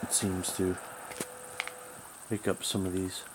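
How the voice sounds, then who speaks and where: A man talking casually and haltingly as he rambles through a hobbyist's gadget troubleshooting demonstration. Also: low voice, completely flat delivery. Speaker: radio hobbyist. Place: small room at home, indoors. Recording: noisy home recording with background hiss.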